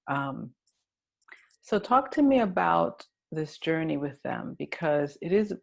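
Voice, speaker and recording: Gravelly-voiced woman interviewer. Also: deep voice, very animated, slow pace. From Sudan. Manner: thoughtful, with many fillers and "you know"s. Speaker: interviewer, filmmaker. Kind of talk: festival Q&A conversation.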